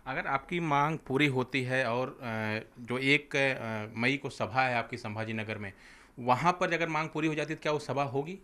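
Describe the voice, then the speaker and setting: A man's voice: medium pitch, normal speed, bright, forceful tone. A man working as a TV news reporter, delivering a field report and interview questions in a formal reporting manner.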